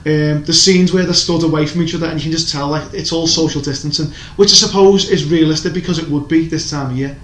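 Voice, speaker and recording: A man's voice: on the high side, steady tone, quick pace, hardly any pauses, a bit bright. A man chatting casually as a podcast co-host, rambling and opinionated, with lots of restarts. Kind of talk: casual chat reviewing TV shows. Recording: echoey room, faint background noise.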